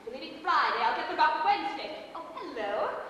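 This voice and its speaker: Gushing, high-pitched glamorous stage diva. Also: female voice, sing-song, fairly quick, bright, forceful tone, dull audio, full character voice. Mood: brisk, grand. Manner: theatrical, effusive, cooing endearments. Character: glamorous, gushing, affected stage actress, excitable and vain. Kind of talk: stage comedy acting. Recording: very echoey hall, distant microphone, faint noise.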